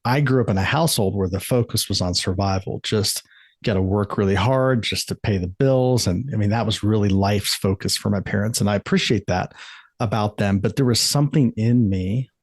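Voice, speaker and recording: A man's voice: fairly low pitch, brisk, no room sound, clean studio sound. A middle-aged man from the United States, an author and life coach, answering interview questions in a thoughtful, earnest way with frequent restarts.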